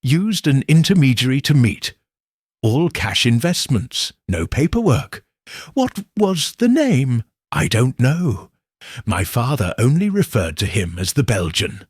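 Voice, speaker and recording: A man reads as an anxious young woman in a detective story, guarded and earnest. The voice rises and dips a lot, a little husky, as she hesitantly defends herself. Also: mid-range voice, very animated, a little slow, no room sound, studio quality.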